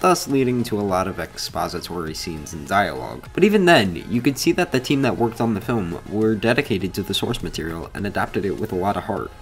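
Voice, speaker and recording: A man hosting a video essay, reading scripted commentary in an informal, opinionated way. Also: on the low side.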